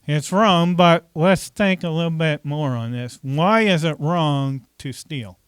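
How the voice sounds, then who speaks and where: A man's voice: a little high, slow, clear, resonant tone, dry recording, clean studio sound. A man preaching a conversational sermon as pastor, often asking the congregation questions and saying "okay" between points.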